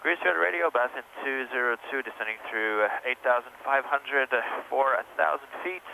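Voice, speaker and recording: A man gives procedural pilot radio calls in a clipped manner. His mid-pitched voice is bright and creaky. He speaks quietly from a cockpit over faint engine noise. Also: brisk, low-quality recording. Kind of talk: aviation radio position report. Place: small aircraft cockpit in flight.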